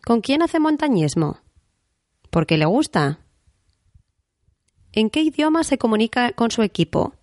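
A woman from Spain with a Madrid accent, hosting a podcast interview and speaking slowly and clearly for learners. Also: fairly high pitch, sing-song, darkish tone, no echo, studio quality. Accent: Madrid Spanish. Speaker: podcast host and Spanish teacher.

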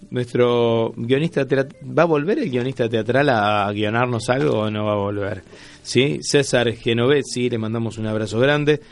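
Man, Argentine Rioplatense accent, medium pitch, radio host, informal banter, teasing, repetitive phrasing. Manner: playful, teasing, repeats phrases. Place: radio studio.

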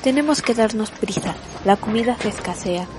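A woman's voice: a little high, lively intonation, normal speed, darkish tone, slight background noise, natural voice. A woman playing a dutiful male Chinese explorer leading a fleet in a radio drama, firm and earnest. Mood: firm, reassuring.

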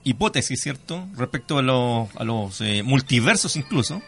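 A man's voice: mid-range voice, animated, moderate pace, creaky, a bit bright. A man hosting a radio interview, politely prompting his guest and asking for clarification in a conversational way. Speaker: radio host. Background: music under the voice.

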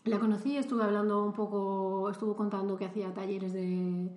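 A woman's voice: medium pitch, fairly even intonation, brisk, darkish tone. A woman with a Spain Spanish accent hosting a casual podcast interview, with loose, rambling intros. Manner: friendly, brief backchannels, loose rambling intros. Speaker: podcast host. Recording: roomy echo.

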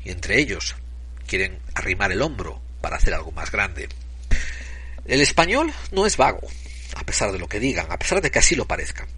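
A man giving an opinion monologue, speaking emphatically and deliberately, at times searching for his words. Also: on the low side, sing-song, sharp tone, breathy edge. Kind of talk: opinion monologue to camera or microphone.

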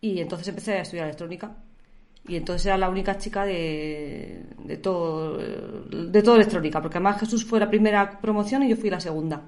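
A woman's voice: lowish voice, fairly quick, darkish tone. A middle-aged woman from Spain with a Spanish accent, a podcast guest telling her life story in casual conversation, chatty and colloquial, laughing now and then.

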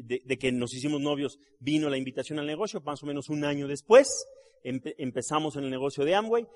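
A middle-aged man gives a live seminar talk to an audience. He is a trained actor and voice artist who tells stories with jokes and keeps asking the crowd for agreement. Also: medium pitch. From Mexico City. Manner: animated storyteller, jokes with the crowd, asks tag questions. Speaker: business seminar speaker.

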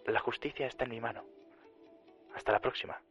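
An actor playing a defiant, cool-headed detective in a radio drama, deliberate and challenging, through a broadcast filter. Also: male voice, mid-range voice, steady tone, fairly bright tone, breathy edge. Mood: cool, resolute. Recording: dull lo-fi broadcast, faint music.

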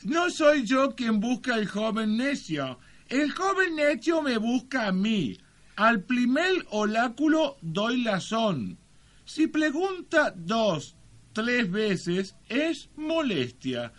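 Very high-pitched quirky oracle master. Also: male voice, sing-song, a bit bright, heavily acted. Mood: solemn, sage. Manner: halting, repetitive, formally polite. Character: eccentric high-voiced male oriental oracle master with broken grammar. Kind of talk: comic radio sketch.

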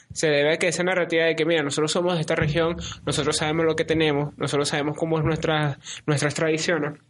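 A man in a casual political podcast discussion, thinking aloud in halting phrases. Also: on the high side. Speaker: podcast co-host.

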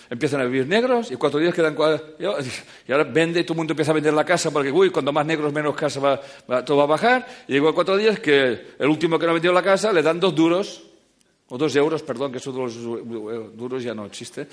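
Animated man lecturing an audience. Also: on the high side, a little fast. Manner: animated, joking, swings between loud and quiet. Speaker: speaker giving a talk.

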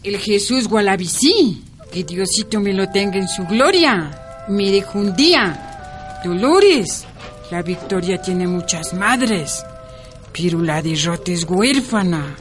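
Slow-speaking, weary old Andean woman. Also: medium pitch, lively intonation, faint music behind. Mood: wistful, tender. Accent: Ecuadorian Andean. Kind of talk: radio drama acting.

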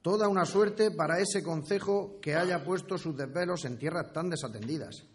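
An actor playing a deferential eighteenth-century town scribe in a radio play, courteous and official, with precise formal delivery. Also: male voice, a little high, moderate pace, slight background noise, natural voice. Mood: official, approving. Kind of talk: scripted historical radio play.

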